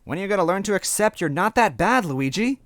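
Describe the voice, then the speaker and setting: A man's voice: high-pitched, expressive, fast, fairly bright tone, no room sound, pitched above the performer's own voice. A man voicing a cheerful, encouraging young female creature sidekick, peppy and casual, in a story reading. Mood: gently encouraging.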